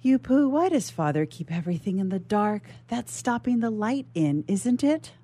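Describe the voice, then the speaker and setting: A woman's voice: mid-range voice, sing-song, normal speed, mellow. A woman reading the part of a dreamy, headstrong noble lady with a poetic, musing manner. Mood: wistful.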